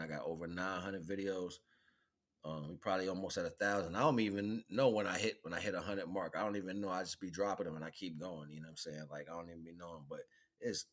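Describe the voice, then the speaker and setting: A man's voice: deep voice, fairly bright tone. A man chatting casually as a livestream host, rambling in slang and repeating catchphrases.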